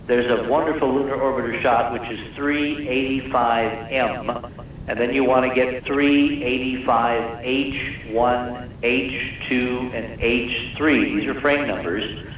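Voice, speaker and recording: A man speaking as a guest researcher on a live radio interview, explaining technical detail emphatically and repeating words for weight. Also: medium pitch. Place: live radio call-in show. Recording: distant, very echoey, muffled noisy radio broadcast.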